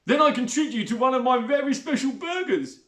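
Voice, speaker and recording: A man's voice: extremely high pitch, expressive, brisk, a bit bright, pitched above the performer's own voice. A man voicing a greasy, fawning, lonely rat catcher with a smarmy manner. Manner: oily, smarmy. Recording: roomy echo.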